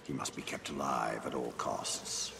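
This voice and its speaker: Very deep, stern elven wraith. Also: male voice, fairly even intonation, measured pace, vocal fry, full character voice. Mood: urgent, stern. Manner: grave, commanding. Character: stern, cold, ancient male elf wraith. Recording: loud music behind.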